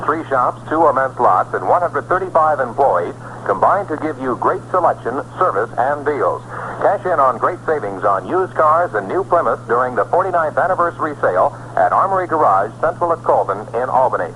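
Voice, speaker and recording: Male American commercial announcer, 1960s radio pitchman, animated and punchy, old recording with light noise. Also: fairly quick, poor audio. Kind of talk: scripted 1960s radio ad read.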